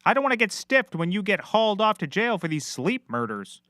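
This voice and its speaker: A man's voice: high-pitched, very animated, a little fast, bright, forceful tone, dry recording, studio quality, exaggerated voice. A man playing a cocky, clueless small-time private eye in a scripted comedy, glib and rambling. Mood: glib, excitable. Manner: glib, rambling, self-assured.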